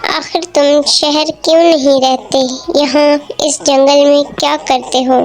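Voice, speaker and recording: Storybook royal or humble countryman, acted: high, flat delivery, formal and polite, music underneath. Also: a little slow. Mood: questioning. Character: kind, generous king and humble poor woodcutter. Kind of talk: slow storybook dialogue for a moral tale. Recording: music behind the voice.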